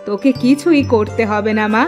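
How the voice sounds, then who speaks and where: A woman's voice: a little high, normal speed, music in the background, close to an everyday voice. A woman playing an emphatic, dramatic village mother in a cartoon. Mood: remorseful. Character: harsh village mother who turns remorseful. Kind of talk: Bengali cartoon voice acting.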